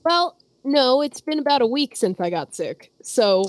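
A woman's voice: high-pitched, sing-song, a little slow, no echo. A young woman co-hosting a podcast in casual, teasing banter while getting over a head cold. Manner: playful and teasing. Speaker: podcast co-host.

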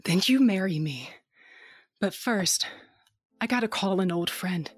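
A woman's voice: mid-range voice, lively intonation, unhurried, slightly rough. A woman narrating and acting a space adventure audio drama in the first person, with a dry, wry and determined manner. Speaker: narrator and lead character.

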